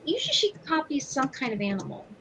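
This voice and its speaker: High-voiced woman playing a tabletop game. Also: sing-song, fast, a bit bright, slight background noise. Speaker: player in a tabletop roleplay game. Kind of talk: casual game chat.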